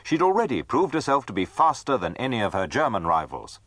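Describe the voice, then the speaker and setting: A man's voice: mid-range voice, sing-song, brisk, fairly bright tone, no room sound. A man narrating a dramatised history from a script.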